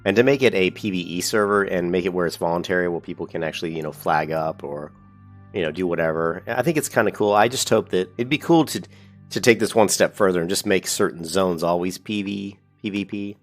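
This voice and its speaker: A very deep-voiced man talks through a gaming video while fighting a cold, and his voice sounds stuffy and congested. He rambles, corrects himself mid-sentence and gets upbeat over features he likes. Faint music plays underneath. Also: brisk. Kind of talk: casual commentary reading a website.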